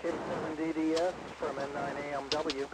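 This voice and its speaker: A man's voice: fairly high pitch, level tone, normal speed. Man on noisy ham radio. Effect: radio transmission. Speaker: amateur radio operator. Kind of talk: terse radio contact exchange with phonetic spelling. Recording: noisy FM satellite radio reception.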